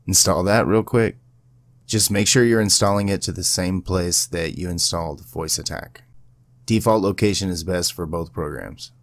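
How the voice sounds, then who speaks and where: Low, gravelly man giving instructions. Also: normal speed, no echo, clean studio sound. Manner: informal, instructional. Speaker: video tutorial presenter. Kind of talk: casual step-by-step software tutorial.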